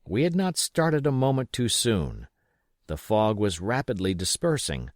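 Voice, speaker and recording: Man, audiobook reader, lowish voice, very varied intonation, expressive storytelling delivery. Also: unhurried, no room sound, studio quality. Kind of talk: expressive scripted narration of an adventure novel.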